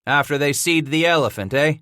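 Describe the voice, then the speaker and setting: A grinning, scrappy trapper, as a male narrator puts him on, drawling homespun frontier talk. Pitched a little above the narrator's voice and bright in tone. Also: a little fast, clean tone, no echo, studio quality. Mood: gleeful. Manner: folksy backwoods drawl.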